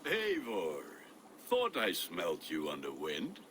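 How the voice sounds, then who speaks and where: An actor playing a boisterous, playful, lovestruck Viking blacksmith in a video game, with a very animated delivery. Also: male voice, low voice, slow, full character voice. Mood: jovial, adoring. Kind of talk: dramatic video game acting. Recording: noisy outdoor ambience behind voice.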